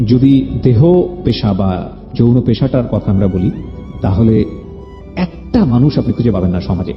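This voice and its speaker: Male radio host, Bangladeshi accent, lively pitch swings, emphatic and repetitive, music underneath. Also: highs cut off. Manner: emphatic, repeats phrases, mixes in English words. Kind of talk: conversational interview and commentary to listeners.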